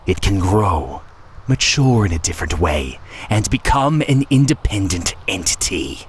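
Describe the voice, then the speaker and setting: A scheming male game villain, with a low voice over clearly noisy ambience. He speechifies with theatrical condescension, taunting and hungry for war and chaos. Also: big pitch swings, measured pace. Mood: smug explaining. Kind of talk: dramatic video game voice acting.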